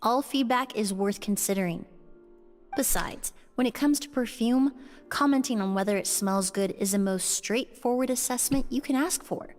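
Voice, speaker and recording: A woman voicing a young perfumer in a game, composed, measured and reflective. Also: fairly high pitch, faint music behind, natural voice. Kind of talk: game voice acting.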